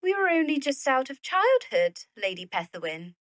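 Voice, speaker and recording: High-voiced, defiant young widow played by a woman. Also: sing-song, normal speed, fairly bright tone, no room sound, clean studio sound. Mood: hurt, defiant. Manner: formal, indignant, emphatic. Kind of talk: period audio drama acting.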